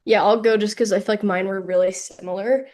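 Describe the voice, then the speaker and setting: A woman's voice: medium pitch, steady tone, a little fast, darkish tone. A woman in her twenties from the Salt Lake Valley, a college student introducing herself on a video call in a talkative, slightly rambling way. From Salt Lake Valley, Utah. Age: 20s.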